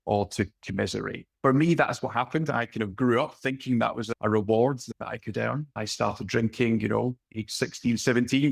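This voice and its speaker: A Scottish man in his late thirties, a podcast guest telling his story in a relaxed, conversational way over a video call. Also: mid-range voice, creaky, no room sound. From west of Scotland. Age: late 30s. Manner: relaxed, unhurried storytelling with fillers.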